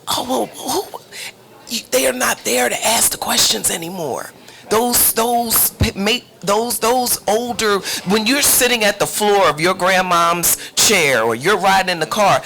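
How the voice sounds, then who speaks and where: A woman's voice: mid-range voice, a bit bright. A woman speaks as an interview guest on a panel. Her talk is heartfelt and casual, and she leans on repeated phrases to drive a point home. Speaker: playwright and producer, interview guest.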